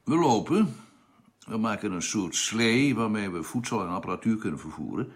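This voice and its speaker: An actor playing a practical, excitable male spaceship crewman in a radio play, speaking directly and emphatically. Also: lowish voice, normal speed. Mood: earnest.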